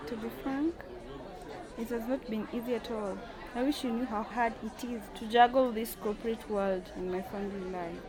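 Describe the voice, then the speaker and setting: A woman's voice: fairly high pitch, fairly even intonation, moderate pace, crowd noise, close to an everyday voice, rough recording. A woman playing a proud, weary company boss in an amateur radio drama. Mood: flattered, candid.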